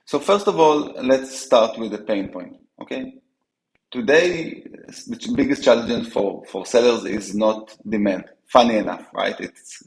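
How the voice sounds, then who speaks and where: A man with an Israeli accent, a company co-founder answering interview questions, halting with frequent fillers and restarts. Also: lowish voice, echoey room, low-quality recording. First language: Hebrew. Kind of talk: business interview.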